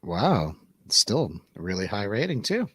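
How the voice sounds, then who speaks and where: A man's voice: lowish voice, very animated, moderate pace, a bit bright, breathy edge, dry recording, studio quality. Jokey male radio host. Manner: jokey, playful. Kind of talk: casual movie review banter on a radio show.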